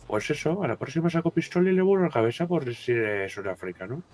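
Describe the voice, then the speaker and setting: A man's voice: medium pitch, big pitch swings, fast talker, darkish tone, close to an everyday voice. A man voicing a lovelorn young man who speaks broken Spanish with an exaggerated foreign accent, grumbling through roleplay banter. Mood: sulky. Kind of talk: roleplay game chat.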